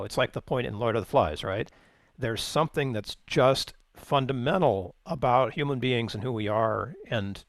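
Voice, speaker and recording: Gravelly American man, close and dry. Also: mid-range voice, no echo. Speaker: co-host and musician. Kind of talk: casual supportive co-host chat.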